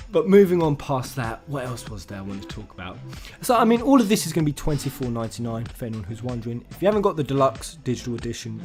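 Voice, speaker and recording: A man giving casual, sweary gaming news commentary as a channel host, excitable and informal in manner. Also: mid-range voice. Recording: music under the voice. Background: background music.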